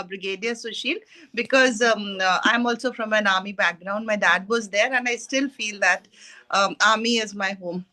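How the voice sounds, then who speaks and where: A woman's voice: medium pitch, sharp tone. A middle-aged woman, a lecturer and mental health trainer, introducing herself on a video call and listing her roles with frequent fillers. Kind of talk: online call self-introduction.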